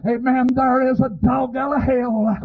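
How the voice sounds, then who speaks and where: A man preaching a fervent revival sermon in a raspy, strained voice, pleading and often shouting, punctuating his phrases with amens. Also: very high voice, flat delivery, measured pace. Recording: dull, lo-fi sermon recording.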